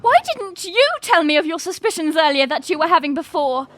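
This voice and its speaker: A woman's voice: sits high, lively intonation, fairly quick, no room sound. A woman playing an earnest, dutiful young princess, serious and prim, in an audio drama. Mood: defensive. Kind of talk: fan audio drama acting.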